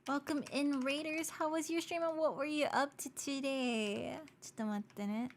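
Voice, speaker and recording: A young woman streaming live, chatting casually with viewers in a bubbly, giggly way while her voice is going hoarse. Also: high-pitched, fairly even intonation, normal speed, background noise. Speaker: VTuber streamer. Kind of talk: casual livestream chat, switching between English and Japanese.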